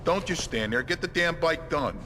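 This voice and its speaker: A man playing a gruff, short-tempered bike shop boss in a video game, blunt and commanding. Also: a little high, lively intonation, normal speed, background noise, natural voice. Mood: irritated, commanding. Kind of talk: video game cutscene dialogue.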